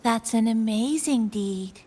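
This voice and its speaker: A woman voicing a small, excitable young female companion in a video game, exclamatory and plaintive. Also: on the high side, slow. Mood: awed.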